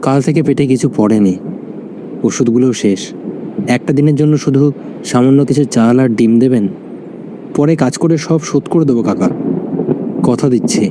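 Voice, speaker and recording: A man performs a humble, poor young villager in a cartoon tale. His medium-pitched voice is pleading and deferential. Noisy stormy ambience is clearly audible around it. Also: normal speed, pauses often, lightly acted. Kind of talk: cartoon story acting.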